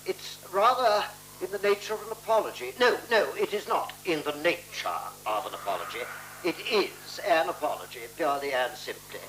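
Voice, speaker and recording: An actor playing an earnest, anxiously precise man in a 1970s radio play, formal and deliberate. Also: a little high, animated, fairly bright tone, rough recording. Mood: nervous, apologetic. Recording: hissy, humming 1970s radio recording.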